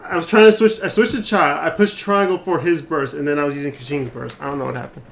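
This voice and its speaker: A man's voice: fairly high pitch, fairly even intonation, fast talker, gravelly. A man streaming a game with casual commentary, reacting aloud as he plays.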